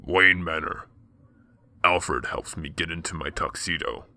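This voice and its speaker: A man's voice: low voice, a little slow, sharp tone, full character voice. A man playing a grave narrator who sets the scenes of a superhero radio drama in scripted present-tense description. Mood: grave, measured.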